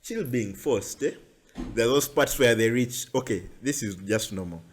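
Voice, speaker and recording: Young Ugandan man, podcast host, chatty and animated, casual talk. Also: medium pitch, normal speed, slight background noise. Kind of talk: casual chat.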